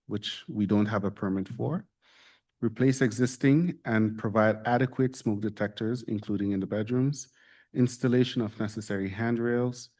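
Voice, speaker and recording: A man's voice: fairly low pitch, a little mellow. Formal man reading a staff report. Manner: measured, formal, reads out a report. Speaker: municipal staff presenting a report. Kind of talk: formal report to a council meeting.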